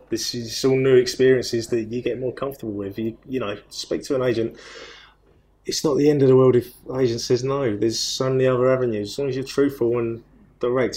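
A man's voice: medium pitch, darkish tone. A man, a guest on an interview, speaking bluntly and plainly. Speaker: guest, property investor. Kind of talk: casual interview chat.

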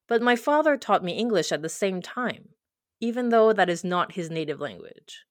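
A woman's voice: medium pitch, big pitch swings, normal speed, no echo, clean studio sound. A young woman from Quebec whose first language is French, reading her own reflective personal story. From Quebec, Canada. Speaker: narrator of a personal audio piece. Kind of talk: scripted personal narration.

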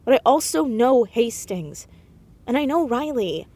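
An actress playing an inquisitive, headstrong teenage girl in an audio drama, insistent and quick to get defensive. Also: a little high, sing-song, warm tone. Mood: defiant. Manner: stammers when cornered, insistent. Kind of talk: naturalistic audio drama acting.

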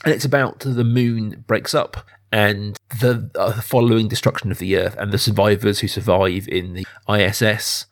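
Low, creaky-voiced man hosting a podcast. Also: steady tone, moderate pace, few pauses. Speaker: podcast host. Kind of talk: casual film discussion.

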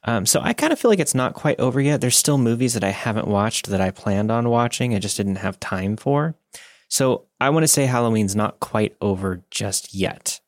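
A man's voice: mid-range voice, expressive, normal speed, no echo, clean studio sound. A man co-hosting a podcast, chatting casually and telling personal anecdotes with chatty enthusiasm. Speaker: podcast co-host.

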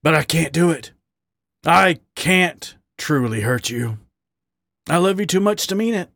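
A man voicing a bitter, volatile male badger who shouts in anguish and then turns weary. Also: on the high side, animated, unhurried, vocal fry, no echo, voice pushed up, exaggerated voice.

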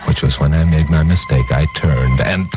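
A man's voice: rumbling low, moderate pace. An actor playing a hardboiled detective hero who narrates his own case with wry, slangy self-mockery in a 1950s radio crime drama. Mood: wry narration. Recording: 1950s radio recording with music.

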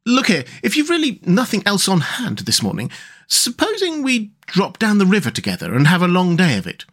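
A man's voice: sits high, wildly swooping pitch, a little fast, a bit bright, pitched above the performer's own voice, full character voice. A man voicing a cheerful, dreamy, boat-loving water rat from a storybook, chatty and rambling with enthusiasm. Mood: breezy, carefree.

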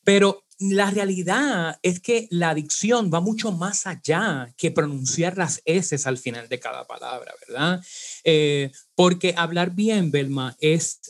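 Casting: Puerto Rican man, diction teacher, slightly high voice, explains patiently with lots of fillers. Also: takes it slowly. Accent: Puerto Rican Spanish. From Puerto Rico. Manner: didactic, many "eh" fillers and tag "¿verdad?". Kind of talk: podcast interview.

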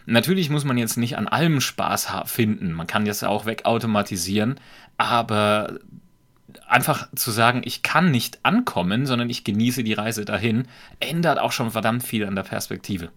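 A man with a light Franconian accent, a podcast guest talking in a reflective, measured way and explaining with examples. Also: fairly low pitch, a bit bright. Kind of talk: conversational podcast talk.

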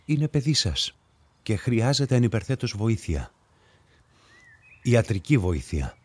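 An actor playing an earnest, awkward police officer in a radio drama, speaking formally and hesitantly. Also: male voice, mid-range voice, big pitch swings, slightly rough.

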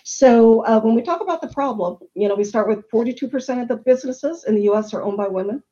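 A woman's voice: a little high, fast talker, dark tone, dull audio. A middle-aged woman on a webinar panel, earnestly explaining a problem and walking through statistics. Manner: earnest, explanatory, lists facts and figures. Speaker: panel guest on supplier diversity.

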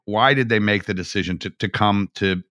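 A man's voice: on the low side, brisk, pushed and bright, no room sound, clean studio sound. A man hosting a podcast, asking questions in a playful, joking manner. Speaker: podcast host. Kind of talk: casual interview.

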